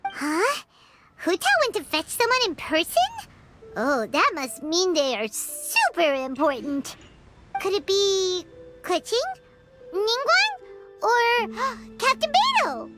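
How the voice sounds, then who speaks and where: A woman voicing a tiny, excitable, childlike floating companion in an anime game, with a squeaky, exclamatory delivery. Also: slow, loud music behind the voice, heavily acted. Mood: excited, curious. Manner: refers to self in third person, exclamatory.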